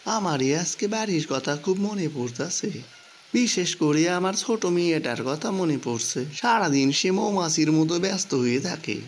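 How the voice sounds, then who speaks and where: A man's voice: fairly high pitch, animated, moderate pace. A man voicing a homesick working father in a cartoon, musing aloud in a rustic Bangladeshi accent. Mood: wistful. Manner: rustic, colloquial. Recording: background noise, ambience.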